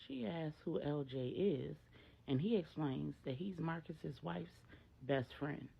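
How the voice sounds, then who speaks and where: A woman's voice: deep voice, takes it slowly, gravelly, slightly rough, lo-fi sound. A woman storyteller narrating a dramatic tale in the present tense.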